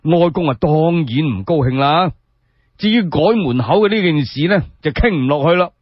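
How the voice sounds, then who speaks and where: A man with a Cantonese accent telling a radio story solo, in expressive, colloquial, explanatory narration. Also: a little high, moderate pace, no room sound. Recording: slightly dull, lo-fi, dry sound.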